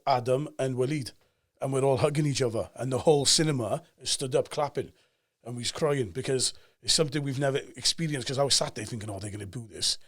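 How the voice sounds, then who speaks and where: A man in his forties with a Cardiff Welsh accent, talking as a guest on a podcast and telling stories with emphatic energy. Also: mid-range voice. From Cardiff, Wales. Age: 40s. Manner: animated storyteller, fast and emphatic, acts out quoted voices. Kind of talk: casual podcast chat.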